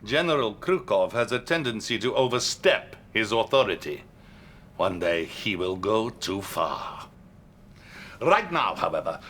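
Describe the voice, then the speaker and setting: An actor playing an authoritative Soviet leader in a game cutscene, formal and commanding, with a Russian accent. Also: male voice, lowish voice, bright, forceful tone, slight background noise. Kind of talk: dramatic game cutscene acting.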